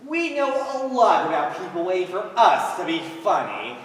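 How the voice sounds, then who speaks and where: A man's voice: high voice, sing-song, moderate pace, fairly bright tone. A teenage boy on stage playing a wry, wisecracking comedian from an underground double act. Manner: theatrical, wry, emphatic. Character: male wisecracking underground vaudeville comedian of a duo. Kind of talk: high school stage play acting. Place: stage in a large hall, recorded from afar. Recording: echoey hall, distant microphone, background noise.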